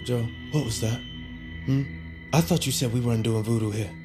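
A man's voice: medium pitch, moderate pace, natural voice. A man playing a skeptical, plain-spoken questioner in an audio drama. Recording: music behind the voice.

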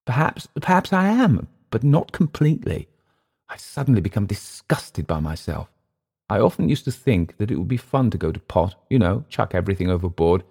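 A man's voice: fairly low pitch, big pitch swings, normal speed, creaky, darkish tone, breathy edge, voice pushed up, full character voice. A man voicing a bitter, volatile, drink-sodden Englishman in a literary story. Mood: earnest, defiant. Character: bitter, drunken, volatile Englishman gone to seed.

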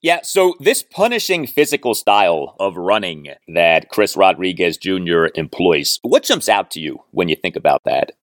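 A man hosting a sports podcast, introducing and questioning with emphatic, deliberate phrasing. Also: low-pitched, sing-song, no echo, studio quality. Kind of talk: scripted introduction and interview questions.

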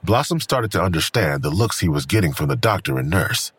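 A man's voice: deep voice, expressive, fairly quick, fairly bright tone, slightly rough. A man reading scripted third-person narration for an audio drama.

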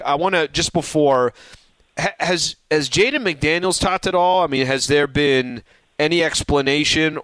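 A man's voice: medium pitch, fairly bright tone, clean tone, dry recording. An American man hosting a sports radio show in a casual, conversational interview style.

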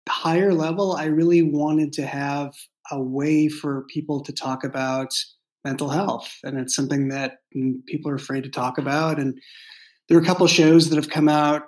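Man, halting, with narrow pitch range. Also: fairly even intonation. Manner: halting, searching for words. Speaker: podcast guest, writer and creator. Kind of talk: casual, thoughtful interview talk.

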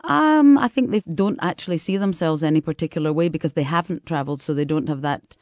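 A woman's voice: low voice, fast talker, a little mellow, no echo, clean studio sound. A woman with a strong Scottish accent, a radio interview guest speaking in a plain, matter-of-fact way. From Scotland.

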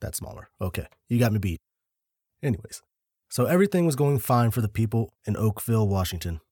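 Low-voiced laid-back podcast storyteller. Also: male voice, big pitch swings, mellow, no echo. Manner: laid-back, dry jokes, slow deliberate telling. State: short of breath, dry cough. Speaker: podcast co-host.

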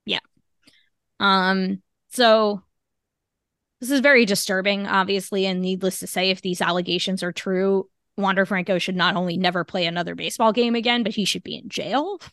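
Measured woman podcaster, dry close sound. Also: medium pitch, steady tone, a bit bright, no echo. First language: English. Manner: halting, many fillers and restarts, measured. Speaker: podcast co-host. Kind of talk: casual podcast discussion.

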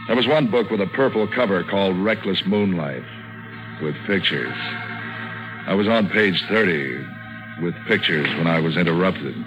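An American actor playing a cynical, wisecracking private detective in deadpan, sardonic 1940s radio noir style, on an old broadcast recording. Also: male voice, sits low, lightly acted, poor audio. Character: wisecracking, cynical hard-boiled male hired detective narrating his case. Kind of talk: 1940s radio noir acting, first-person narration.

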